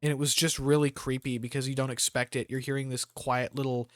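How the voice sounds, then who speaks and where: A man's voice: mid-range voice, level tone, brisk, fairly bright tone, no echo, studio quality. A man giving casual, rambling gameplay commentary with jokey slang. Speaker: gamer hosting a let's play.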